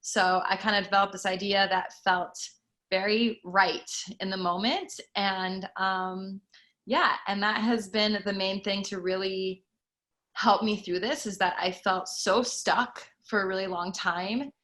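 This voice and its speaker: Bright-voiced woman in her thirties. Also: mid-range voice, steady tone, a little slow. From Costa Rica. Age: 30s. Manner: earnest, reflective, frequent fillers. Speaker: guest, fitness instructor. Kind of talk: casual panel chat.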